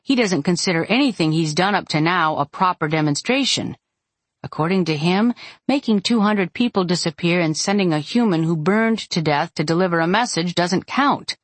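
Woman acting adult female fighter, audiobook character, low and husky, fierce, impatient, commanding, emphatic. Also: moderate pace, no room sound, natural voice. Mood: urgent, commanding.

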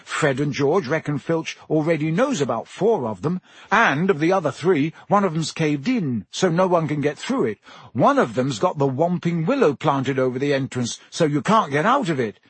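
A man voicing an earnest, defiant teenage schoolboy hero in an audiobook, explaining things quickly. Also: fairly high pitch, lively intonation, slightly rough, dry recording.

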